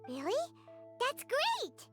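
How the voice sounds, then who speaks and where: A woman voicing a tiny, excitable, childlike fairy companion in a video game, with a squeaky voice. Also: slow, exaggerated voice. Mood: excited. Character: tiny excitable fairy companion girl, speaks in third person. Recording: music playing behind the voice. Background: game music.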